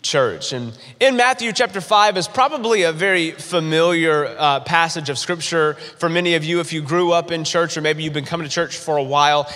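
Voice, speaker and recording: A male pastor preaches in an energetic style, his voice pitched high and ringing bright. He stumbles into quick restarts and calls on the crowd to answer. The sound carries a long hall reverb from a large church. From North Carolina, US. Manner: energetic, stammering restarts, calls for audience response. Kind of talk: sermon with humorous storytelling.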